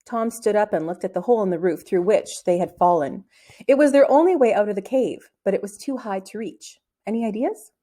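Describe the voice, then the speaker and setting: A woman reading a children's novel aloud as an expressive storybook narrator. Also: medium pitch, brisk. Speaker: storybook reader.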